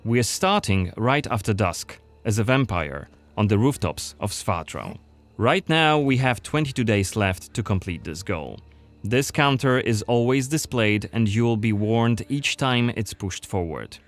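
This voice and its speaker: A man's voice: fairly low pitch, animated, a little slow, faint music behind. A man narrating a scripted gameplay showcase as its presenter.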